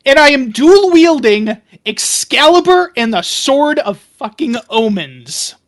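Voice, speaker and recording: A woman roleplaying as a player in a tabletop game, casual and playful, with an animated, dramatic manner. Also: sits high, slow.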